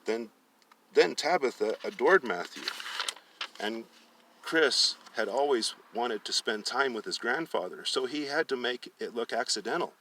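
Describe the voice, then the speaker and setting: A man's voice: medium pitch, expressive, a little slow, sharp tone, far from the mic, slight background noise. A man narrating fiction aloud as a storyteller, often stumbling and rereading phrases. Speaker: storyteller reading a book aloud.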